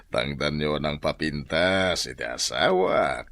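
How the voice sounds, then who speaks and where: A man's voice: a bass voice, expressive, slow, creaky, a bit bright, no echo, exaggerated voice. A man acting a teasing, self-important town mayor in a radio drama, with a Filipino accent.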